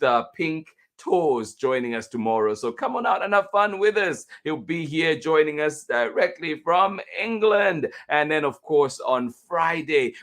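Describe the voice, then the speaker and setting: A man's voice: mid-range voice, a bit bright. A man hosting a children's story livestream, casually greeting viewers and thanking them in an encouraging, appreciative way.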